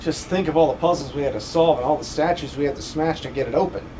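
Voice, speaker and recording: A man voicing an excitable, inquisitive adventurer sidekick in an amateur video game dub, chatty and theatrical. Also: on the high side, fast, background noise, close to an everyday voice. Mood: curious, eager.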